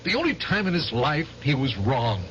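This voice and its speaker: A man's voice: fairly high pitch, very animated, normal speed. An actor playing a nervous, guilty male war veteran who stammers and dodges questions, in 1970s radio drama style. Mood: evasive. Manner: evasive, stammering. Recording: dull old radio broadcast with loud hiss.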